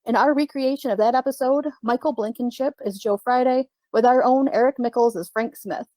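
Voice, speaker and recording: A woman's voice: a little high, a little fast, a little mellow, dry recording, poor audio. A woman announcer reading a scripted introduction for a radio drama.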